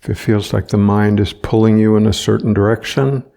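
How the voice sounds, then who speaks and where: A man leading a guided meditation as a teacher, speaking gently and without hurry, leaving long pauses between short phrases. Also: lowish voice, fairly even intonation, gravelly.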